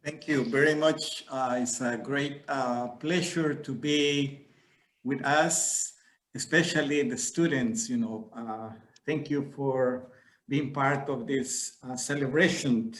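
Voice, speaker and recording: Halting man speaking in an echoey room. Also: medium pitch, fairly even intonation, a bit bright. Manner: halting, many fillers. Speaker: activist guest speaker. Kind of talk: informal talk to an audience.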